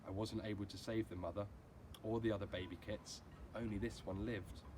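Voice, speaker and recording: A man voicing a calm, patient mother and vet in a children's storybook, explaining things gently. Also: fairly low pitch, fairly quick, lightly acted. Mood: regretful. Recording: clearly noisy amateur recording.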